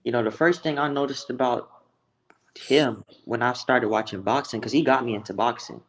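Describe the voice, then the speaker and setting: A man's voice: medium pitch, moderate pace, fairly bright tone, rough recording. An American man from Texas giving blunt, profane opinions in a casual, slangy sports chat. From Texas, United States.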